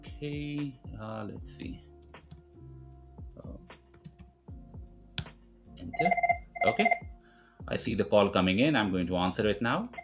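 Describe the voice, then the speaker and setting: A man with a fairly low voice walks through a technical tutorial. He explains step by step in an informal way, with music behind him. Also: lively intonation, slow pace, long pauses. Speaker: tutorial presenter. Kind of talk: screen-recorded software tutorial, explanatory.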